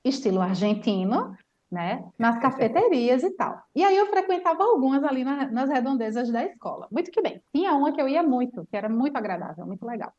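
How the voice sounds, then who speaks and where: A woman's voice: high-pitched, brisk, muffled recording. Chatty Brazilian woman telling a story. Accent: Brazilian Portuguese. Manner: animated storyteller, chatty with many fillers. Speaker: language teacher. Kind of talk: casual chat.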